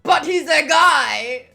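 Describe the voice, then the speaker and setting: A woman giving excitable, giggly commentary on a visual novel playthrough while reading the text aloud. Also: high-pitched, vocal fry. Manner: excitable, giggly, animated reactions. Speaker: streamer playing through a visual novel. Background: game music.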